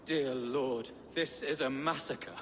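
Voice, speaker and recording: Earnest, anxious bishop, echoing. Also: male voice, mid-range voice, steady tone, takes it slowly, fairly bright tone. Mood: dismayed. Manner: earnest, formal. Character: pleading, pious, anxious male bishop. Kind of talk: dramatic video game acting. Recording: reverberant hall, faint noise.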